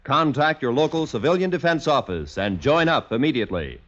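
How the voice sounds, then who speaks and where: A male radio announcer in 1950s style, with lively swings of pitch. He reads scripted copy on a dull, old broadcast recording. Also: brisk.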